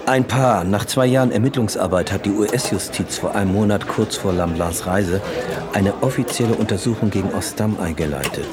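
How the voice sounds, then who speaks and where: Male informant, radio play man acting: very deep, confiding, conversational, over clear café-like crowd chatter. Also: moderate pace, lightly acted. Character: male company insider passing information to investigator. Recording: busy crowd chatter behind.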